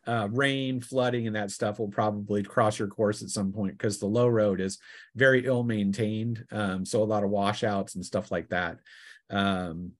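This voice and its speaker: A man working as game master, narrating a tabletop fantasy session in a halting way, thinking aloud as he goes. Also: medium pitch, dry recording, studio quality.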